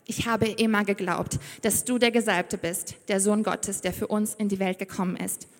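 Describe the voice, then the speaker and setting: A woman's voice: mid-range voice, steady tone, moderate pace, natural voice. Grieving, faithful Martha, read by a woman. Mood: earnest. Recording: reverberant church hall.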